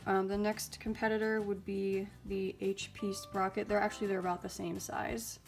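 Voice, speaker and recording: A woman's voice: medium pitch, flat delivery, normal speed, faint music behind. Chatty young woman, a little stuffy. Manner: chatty and upbeat, with frequent ums and likes. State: slightly raspy and stuffy, getting over illness. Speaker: YouTube host reviewing mini printers. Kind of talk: casual product review vlog.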